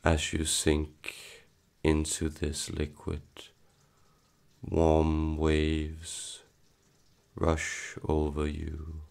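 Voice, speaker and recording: A man leading a guided meditation in a solemn, hypnotic manner, halting between short soothing phrases. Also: very deep voice, completely flat delivery, vocal fry, a little mellow.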